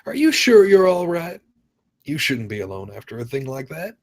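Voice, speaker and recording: A man's voice: on the high side, sing-song, moderate pace, no room sound, clean studio sound. A man playing an anxious, caring father in his fifties in a screenplay table read. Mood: worried, caring.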